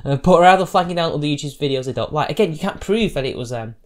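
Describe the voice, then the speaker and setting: A man's voice: a little high, animated, fast. A man giving combative, sarcastic reaction commentary, talking loosely with restarts as he answers posts. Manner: loose, rambling delivery with restarts. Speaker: YouTube commentator.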